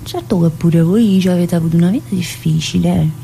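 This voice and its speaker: A woman's voice: fairly low pitch, gravelly, mellow, slight background noise, low-quality recording. An actress playing a talkative, troubled woman locked in a psychiatric prison, in a radio theatre monologue, with a Neapolitan accent and a colloquial, confiding, rambling manner. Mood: curious, sympathetic. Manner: colloquial, confiding, rambling, with abrupt pauses and interjections.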